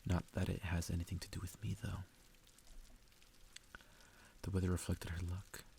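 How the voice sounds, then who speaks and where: A man doing a soft ASMR reading of a story, sometimes stumbling over harder words. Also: sits low, level tone, normal speed, gravelly, slight background noise. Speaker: ASMR creator reading a visual novel aloud.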